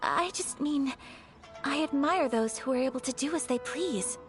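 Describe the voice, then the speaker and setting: A refined young lady of noble birth, acted by a woman for a video game. The voice is high, with formal, courteous and earnest delivery, a little reserved. Game music plays underneath. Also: normal speed, lightly acted. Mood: gentle, wistful.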